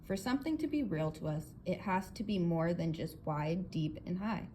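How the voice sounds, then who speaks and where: A woman's voice: fairly low pitch, lively intonation, normal speed, dark tone, lightly acted. Emphatic, confident male inventor, read by a woman. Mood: earnest, lecturing. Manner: emphatic, explanatory, theatrical. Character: earnest, confident male inventor lecturing his guests. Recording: clear background noise.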